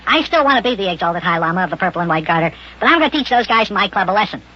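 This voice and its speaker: A man voicing an eager, mischievous, boastful little boy in a children's radio serial, with a high, raspy, sped-up voice. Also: big pitch swings, racing, bright, forceful tone, heavily acted. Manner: chattering, boastful, plays at being important. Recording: dull lo-fi old radio recording.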